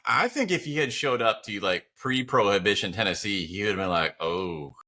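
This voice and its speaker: A man's voice: on the low side, lively intonation, moderate pace, bright, forceful tone. A man bantering casually as a podcast co-host, with a wry, playful and emphatic way of talking.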